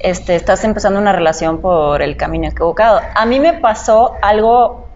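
A young adult woman from Cuba speaks in a casual, explanatory podcast style. Her voice is medium in pitch and reflective in delivery. The recording is slightly muffled, with a faint layer of noise. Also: brisk, a little mellow. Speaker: podcast guest, model. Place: indoor podcast set at a table.

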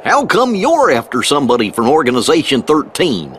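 An actor playing a good-natured, slow-witted cartoon dog in a video game, dopey, folksy and earnest. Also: male voice, fairly high pitch, huge pitch swings, fairly bright tone, a little hiss or hum. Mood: earnest, puzzled.

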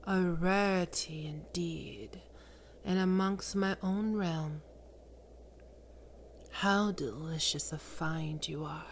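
A woman voicing a seductive, imperious female demon queen, speaking slowly in sultry whispers. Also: fairly low pitch, steady tone, slight background noise. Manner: slow, sultry, domineering, often whispering. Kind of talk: ASMR roleplay audio drama.

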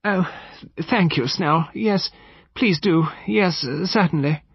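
A man's voice: high voice, expressive, moderate pace, vocal fry, slightly rough, dry recording, highs cut off, voice pushed up, heavily acted. A man voicing a vague, flustered older upper-class English lady in an audiobook, with a hesitant, wavering delivery that trails off. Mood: relieved.

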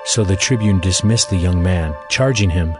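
A man's voice: low voice, moderate pace, music in the background. A man narrating dramatized scripture as a scripted reading.

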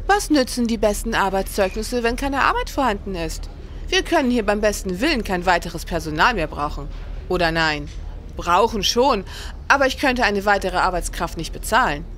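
A woman's voice: medium pitch, animated, normal speed, fairly bright tone, a little hiss or hum, natural voice. A woman playing a plain-spoken, guarded innkeeper of about forty in a historical radio play. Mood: firm, explanatory. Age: middle-aged.

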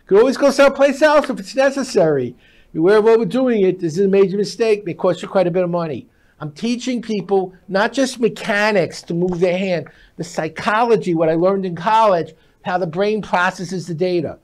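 Older guy in his 60s, pretty high voice, punchy short sentences, teaching stuff on a studio talk show. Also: fairly quick. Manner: emphatic, instructive, short declarative sentences. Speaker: guest expert, speed reading teacher. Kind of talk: explanatory interview talk. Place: TV-style studio at a glass desk. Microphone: lapel mic.

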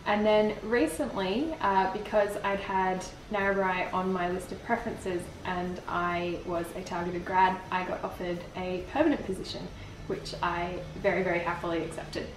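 A woman's voice: lowish voice, fairly even intonation, normal speed, background noise. Cheerful young Australian woman teacher. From Port Macquarie, Australia. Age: young adult. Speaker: support teacher. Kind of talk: casual to-camera interview. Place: classroom with posters. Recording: echoey classroom.

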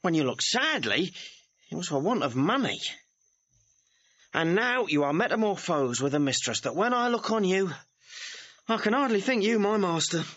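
Muffled old drama audio, a cheeky young servant, highish bright voice, mock-polite and mocking. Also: male voice, big pitch swings, moderate pace, pauses often, dry recording, exaggerated voice. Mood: teasing. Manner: impudent, mock-deferential, theatrical. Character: cheeky, quick-witted young servant mocking his lovesick master. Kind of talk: classical stage-style audio drama acting. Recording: dull, band-limited vintage audio recording.

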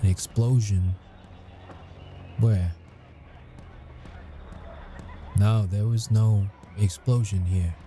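An actor playing a grumpy but caring military intelligence interrogator in an ASMR audio roleplay, speaking in measured, halting phrases. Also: male voice, on the low side, steady tone, mellow, slight background noise. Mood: concerned, soothing. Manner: measured, halting, deliberate delivery.

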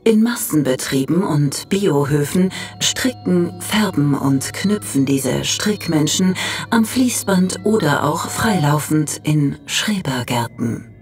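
Low-voiced female space encyclopedia narrator, slow, factual and measured, light music underneath. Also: animated, a little mellow. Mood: informative, measured. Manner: measured, informative. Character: matter-of-fact female narrator of a cosmic encyclopedia. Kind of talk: scripted mock-documentary narration.

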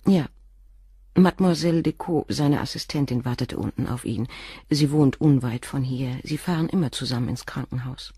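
A guarded, devoted wife in a crime radio play. Her voice is husky and mellow, with formal, measured phrasing. She sounds protective and watchful, recorded close and dry. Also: very deep voice, no echo, heavily acted. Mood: guarded, insistent.

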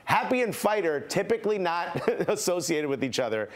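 A man's voice: sits high, very animated, normal speed. A man co-hosting a studio football show, asking long, talkative questions with bits of laughing banter. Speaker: studio co-host.

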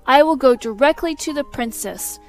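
A woman's voice: high-pitched, expressive, moderate pace, faint music behind, pitched above the performer's own voice. A woman doing an outraged, protesting scholar. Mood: indignant. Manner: loud, protesting. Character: indignant learned man, defiant scholar.

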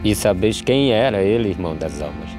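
Animated verse drama: weary wanderer, lowish pitch, solemn rhythmic lines, music underneath. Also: male voice. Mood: solemn, questioning. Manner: measured, solemn, rhythmic delivery with falling refrains. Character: solemn male drought migrant, questioning, weary, plain-spoken.

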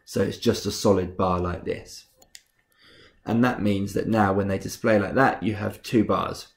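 A young man with a cold, sounding husky and rough and a bit bunged up, calmly talking through a game-making tutorial step by step with frequent ums. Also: on the low side, measured pace. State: congested from a cold. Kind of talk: screen-recorded software tutorial.

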